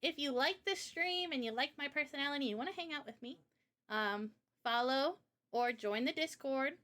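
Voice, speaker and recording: A woman with a fairly high voice talks casually as a livestreamer. Her delivery is chatty and informal, full of everyday slang. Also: moderate pace, fairly bright tone. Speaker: live streamer and indie game developer.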